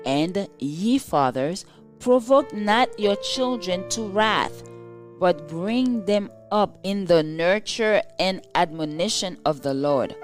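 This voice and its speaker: Slow, low-pitched woman reading a devotional. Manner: measured, solemn, formal delivery. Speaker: devotional reader. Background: soft instrumental music.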